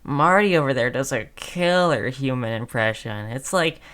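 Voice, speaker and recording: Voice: mid-range voice, lively intonation, normal speed, a bit bright, natural voice. A performer playing a friendly, chatty undead zombie who wheedles in casual slang, in a scripted audio roleplay. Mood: cajoling. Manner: casual, cajoling, slangy salesman-like patter.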